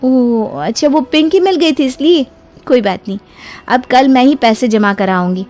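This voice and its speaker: Casting: actress as young daughter-in-law in a cartoon moral story, very high voice, eager, questioning, respectful, music underneath. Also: female voice, normal speed, darkish tone, lightly acted. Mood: inquiring. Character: bright, polite, inquisitive young daughter-in-law. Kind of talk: acted Hindi moral story dialogue.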